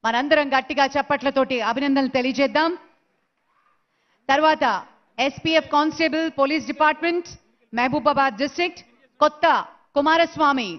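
A woman announcing recruits' names one by one at an award ceremony, in a slow, measured way. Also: high voice. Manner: slow, measured name-calling into a PA. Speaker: event announcer. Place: large stadium ceremony. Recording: dull lo-fi broadcast sound.

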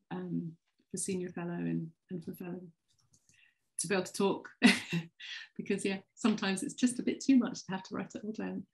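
A woman's voice: lowish voice, vocal fry, rough recording. A woman around forty responding informally and a little hesitantly in an academic discussion on a video call. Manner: hesitant, conversational. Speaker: seminar speaker, educational developer. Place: home room on a video call. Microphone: laptop mic on video call.

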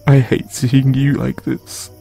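A man performing an intimate comfort roleplay as a gentle boyfriend consoling his grieving partner, speaking in a soothing, tender, hushed way. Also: medium pitch, level tone, slow pace, gravelly, husky, faint music behind.

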